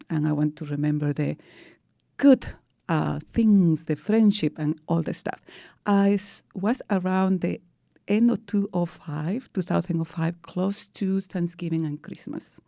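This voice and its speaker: Peruvian-accented woman, low gravelly voice. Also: measured pace, dark tone, no echo, studio quality. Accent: Peruvian Spanish. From Peru. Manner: earnest storytelling with non-native English phrasing. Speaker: guest. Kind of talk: radio interview.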